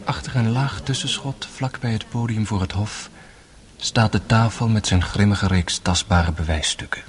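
A man narrating a radio drama as a grave, sorrowful young brother, in measured, literary phrases that sink to a hush. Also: on the low side, a little hiss or hum, lightly acted.

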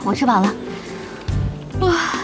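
A woman's voice: sits high, expressive, takes it slowly, music in the background. A woman playing a sweet, easygoing young friend in an audio drama. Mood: sweet, placating.

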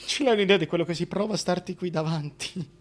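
A man's voice: high-pitched, fast talker, lightly acted. An actor playing a crude, scheming, wheedling king in a theatrical comic monologue, with a histrionic delivery that swings from murmurs to outbursts. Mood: sly, wheedling. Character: crude, scheming, wheedling male tyrant king wooing a woman. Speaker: stage actor in a solo radio theatre piece.

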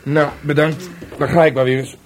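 A man's voice: a little high, flat delivery, normal speed, a little hiss or hum, close to an everyday voice. A man playing a reserved, dryly questioning police sergeant in a Dutch radio play, sometimes awkward and hesitant. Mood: matter-of-fact.

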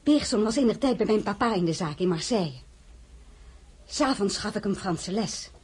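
A proud young crown princess in a radio drama. Her voice is very husky and breathy at a middling pitch. She speaks with dignity and an outspoken, defiant streak. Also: animated, moderate pace, darkish tone. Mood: puzzled, polite. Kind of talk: dramatised radio play acting.

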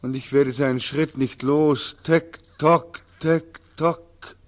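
Slow, haunted war returnee. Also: male voice, on the high side, steady tone. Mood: feverish dread. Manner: anguished, incantatory, dramatic. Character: despairing war returnee Beckmann, haunted, exhausted, bitter. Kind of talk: 1940s German radio play acting, declaimed monologue.

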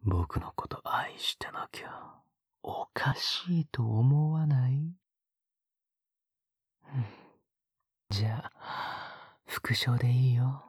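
A man playing an obsessive young captor in an intimate audio drama, coaxing in a husky whisper with sweetly condescending menace. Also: medium pitch, dry recording, studio quality, exaggerated voice. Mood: coaxing, possessive. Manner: slow, coaxing, condescendingly gentle.

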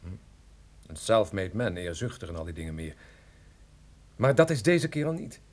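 An actor playing an evasive, defiant drug smuggler at gunpoint in a radio drama, guarded in manner. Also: male voice, low voice, very animated, moderate pace. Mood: grudging, subdued.

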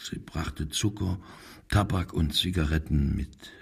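A self-pitying, husky-voiced confessing murderer. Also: male voice, very deep voice, darkish tone, heavily acted. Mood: cold, matter-of-fact. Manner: halting, defensive, wavering between muted and agitated. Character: confessing murderer, self-pitying, evasive, bigoted German man. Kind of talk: German radio crime drama acting.

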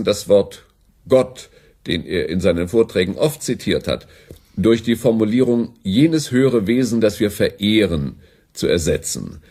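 A condescending broadcasting director hands down orders briskly in a radio play. His voice is low and husky. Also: mellow, heavily acted. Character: smooth, authoritative male broadcasting director.

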